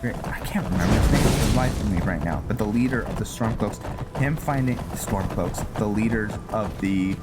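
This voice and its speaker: A man's voice: on the low side, normal speed, loud music behind the voice. A man giving casual, rambling game commentary, thinking aloud and often searching for words as he narrates a roleplay playthrough. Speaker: gaming video host narrating a roleplay playthrough.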